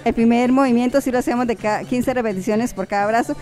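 A woman's voice: a little high, fast talker, darkish tone. A young adult woman giving workout instructions as a fitness vlogger, chatty and encouraging, with lots of affectionate diminutives. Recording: background music under the voice. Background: music.

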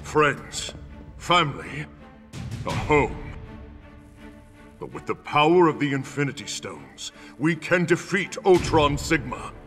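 A man's voice: a little high, big pitch swings, takes it slowly, pauses often, a bit bright, heavily acted. An actor voicing a proud male warrior god who speaks in a grand, declamatory way, in a game cutscene. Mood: resolute. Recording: game cutscene, reverberant, music.

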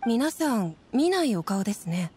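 A woman's voice: a little high, big pitch swings, moderate pace, over music. An actress voicing a polite, businesslike woman checkpoint inspector in an anime game, speaking formally and courteously. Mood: polite, inquiring. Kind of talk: anime video game voice acting.

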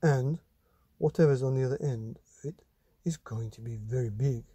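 A man's voice: mid-range voice, normal speed, mellow, breathy edge, no echo, close to an everyday voice. A man voicing an earnest, worried father in a storybook.

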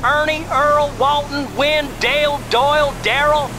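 An actor playing a mocking rural crime boss on a phone call in a video game, talking fast and folksy. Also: male voice, extremely high pitch, fairly even intonation, noisy background. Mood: taunting. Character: taunting rural male crime family boss.

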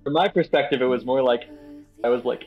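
A man's voice: medium pitch, fairly quick. A man talking casually as a writer in an online interview, hesitant and often saying "like". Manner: hesitant, frequent "like". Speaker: game writer being interviewed. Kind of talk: casual developer Q&A. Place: online voice call. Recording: dull lo-fi voice call. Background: faint plucked guitar music.

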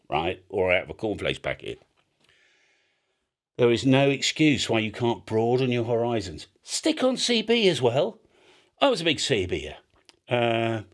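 A man's voice: fairly high pitch, fairly bright tone. A man with a British accent in casual, rambling explanation, blunt and emphatic as a video host. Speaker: amateur radio video host.

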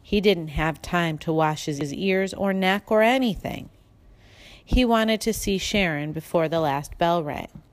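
A woman's voice: on the low side, lively intonation, measured pace, no room sound. Woman reading a children's story, dry recording. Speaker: audiobook reader. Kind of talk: scripted children's storybook narration.

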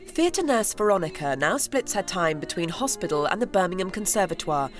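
A woman narrating a documentary in a measured, scripted voice. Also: lowish voice.

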